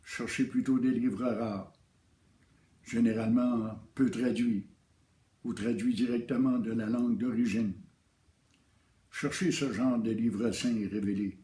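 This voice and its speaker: Man, spiritual teacher, warm low-key tone, calm and measured, roomy echo.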